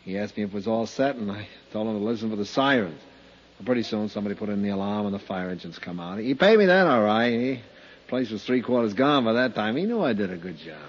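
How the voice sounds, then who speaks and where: An actor playing a shifty, cynical career arsonist in a 1950s radio drama, husky, terse and streetwise, with an American accent. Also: male voice, fairly low pitch, lively intonation, fast talker. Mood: sullen, evasive. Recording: dull 1950s radio recording.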